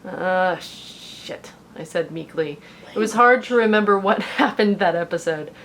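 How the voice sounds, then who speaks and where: A woman reading fan fiction aloud in an expressive, informal way, slipping into casual, self-mocking asides. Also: medium pitch, fairly quick, poor audio. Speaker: fan fiction reader on a comedy show.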